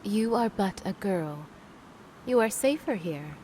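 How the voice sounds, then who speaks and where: A woman playing a stern, resigned, protective mother in an audio drama, quietly admonishing. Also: medium pitch, animated, takes it slowly, heavy background noise.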